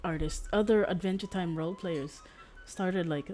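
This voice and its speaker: A woman streamer chatting casually as she games, in a halting, rambling way, with music behind her. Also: lowish voice, dark tone. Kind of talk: casual livestream chat while gaming.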